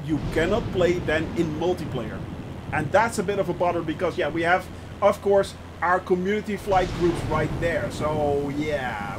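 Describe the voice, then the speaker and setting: A man's voice: high voice, noisy background. A man hosting a flight simulator live stream, talking casually to his chat, thinking aloud with frequent hesitations and greeting viewers by name. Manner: frequent hesitations and restarts while explaining. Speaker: livestream host of a flight simulator channel.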